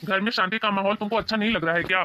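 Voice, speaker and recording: An actor playing a placating, exasperated husband who avoids conflict in an animated family story. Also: male voice, sits high, very fast, slight background noise.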